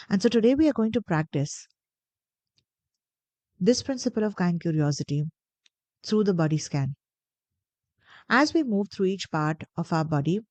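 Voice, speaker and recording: A woman guiding a meditation in a gentle, deliberate, soothing way, pausing between phrases. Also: on the low side, no echo. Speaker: meditation guide. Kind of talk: guided meditation narration.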